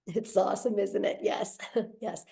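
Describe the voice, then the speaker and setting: A woman hosting a live webinar, upbeat and encouraging, with quick affirmations. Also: mid-range voice, little pitch movement, creaky, warm tone. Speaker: webinar host.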